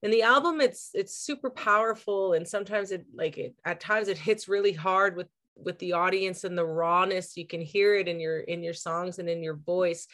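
A woman's voice: on the low side, normal speed, fairly bright tone. A young woman interviewing a guest, asking questions hesitantly and casually, with lots of "like".

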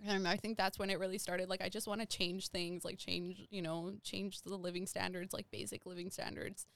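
Young woman guest, Nepal-born, loose rambling chat, smooth clear tone, dry close sound. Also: medium pitch, little pitch movement, brisk, fairly bright tone, dry recording. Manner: rambling, many "like" fillers. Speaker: interview guest. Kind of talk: casual conversational interview.

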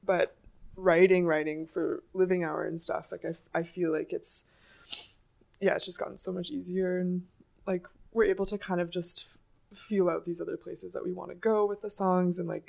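A young woman musician with a low voice, rambling and laughing a lot as she talks about writing songs. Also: flat delivery, moderate pace, gravelly, darkish tone. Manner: giggly, rambling, many "like" fillers. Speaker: singer and songwriter. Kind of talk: casual interview chat.

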